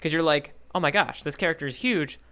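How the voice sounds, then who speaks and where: A man's voice: fairly high pitch, a little fast, pushed and bright. A man co-hosting a podcast in casual conversation, reflective and rephrasing his thoughts as he goes. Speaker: podcast co-host.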